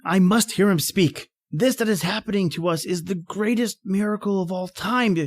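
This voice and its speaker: Casting: male actor for astonished, curious radio-horror hero, urgent and halting, recorded dry. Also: sits high, no echo, natural voice. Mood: fascinated awe. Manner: stammering, breathless urgency. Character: awestruck, insistently curious man confronting an alien. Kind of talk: old-time radio horror acting.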